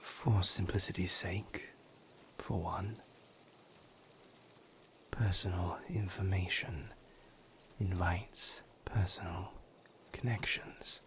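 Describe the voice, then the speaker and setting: Man playing a low, whispering, brooding vampire lover. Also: fairly bright tone, heavily acted. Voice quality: breathy, whispered, low-pitched. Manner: hesitant, formal wording, measured, pausing. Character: brooding, tender male vampire lover with a painful past. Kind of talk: intimate whispered audio roleplay monologue.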